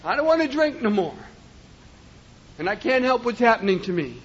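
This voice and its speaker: A man giving a personal testimony at a recovery meeting, impassioned and often shouting, with rhythmic repetition. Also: extremely high pitch, very animated, normal speed, slightly rough. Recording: dull lo-fi recording.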